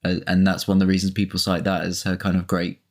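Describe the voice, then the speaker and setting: A man with a fairly low voice co-hosts a film commentary. His delivery is casual and conversational, with wry asides dropped into the discussion. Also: completely flat delivery, fast, a little mellow. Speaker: podcast co-host.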